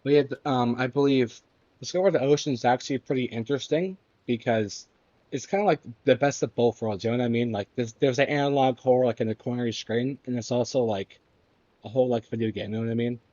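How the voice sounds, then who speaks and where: A young man with a mid-range voice talking in a halting, filler-heavy way. The recording is dull and a bit lo-fi. It sounds dry. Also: medium pitch, fairly even intonation, dark tone, no echo. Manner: halting, filler-heavy, lots of "like" and "you know what I mean". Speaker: podcast co-host. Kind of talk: casual chat.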